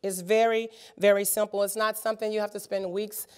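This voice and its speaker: A woman in her fifties leads a workshop at a conference, her smooth, clear voice slightly roughened by a sinus cold. She speaks to the room in an encouraging way and fills her pauses with ums and uhs. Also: mid-range voice, flat delivery. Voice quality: many filler ums and uhs. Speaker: workshop presenter.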